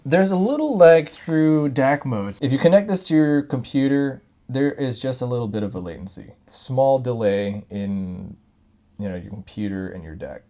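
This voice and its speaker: A man's voice: medium pitch, mellow. A man chatting casually as he co-hosts a gadget review, explaining things informally. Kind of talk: casual product review chat.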